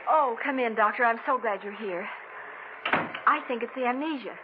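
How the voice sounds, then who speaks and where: An actress playing an anxious, tender, pleading wife in a 1940s radio thriller. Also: female voice, a little high, normal speed, close to an everyday voice. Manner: pleading, concerned. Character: anxious, tender wife of an amnesiac, former nurse. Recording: tinny, noisy old radio recording.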